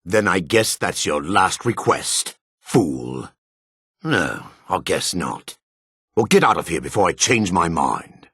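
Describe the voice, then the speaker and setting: A very low, husky male dark elf from a fantasy game. He is a haughty rogue, curt and suspicious, and his delivery swings widely in pitch. The sound is gravelly and disdainful. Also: sing-song, takes it slowly, no room sound, clean studio sound, exaggerated voice. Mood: menacing. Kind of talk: video game character dialogue.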